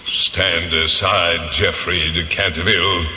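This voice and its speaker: A wrathful, commanding ghost of an old nobleman for vintage radio. The voice is low and piercingly forceful, with grand archaic phrasing. It rings through a long, cavernous reverb. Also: fairly even intonation, takes it slowly, a little hiss or hum, exaggerated voice. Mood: menacing, wrathful. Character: centuries-old male ghost of an English nobleman, menacing, wrathful, wistful. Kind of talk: 1970s radio drama acting.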